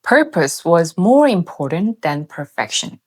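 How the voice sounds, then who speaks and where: A woman's voice: lowish voice, sing-song, measured pace, husky. A woman in her thirties from Korea with a Korean accent, giving earnest scripted narration in short emphatic phrases. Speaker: video essay host.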